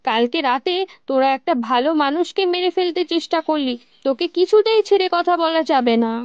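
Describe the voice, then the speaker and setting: Feisty cartoon villager, female actor: piercingly high pitch, dry studio sound, outspoken. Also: expressive, moderate pace, darkish tone, no echo, dull audio. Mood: scolding.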